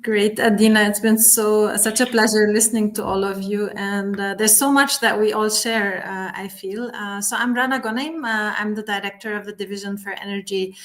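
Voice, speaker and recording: Woman, forties, mid pitch, grew up in Lebanon, hesitant fillers. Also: steady tone, moderate pace, few pauses. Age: 40s. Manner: warm, frequent "uh" fillers. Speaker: panelist, UN division director. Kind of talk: webinar panel talk.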